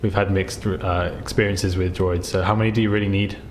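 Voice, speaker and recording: A man with a fairly low voice chats in character as a game player. He is hesitant and halting, with faint noise behind him. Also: little pitch movement, darkish tone. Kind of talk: casual in-character chat.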